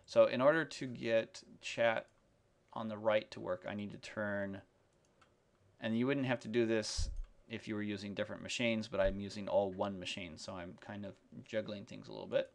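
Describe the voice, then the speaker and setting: A man giving an unscripted screencast tutorial in a halting, explanatory, step-by-step manner. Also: on the low side, fairly even intonation, vocal fry. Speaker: software demonstrator. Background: keyboard typing and mouse clicks.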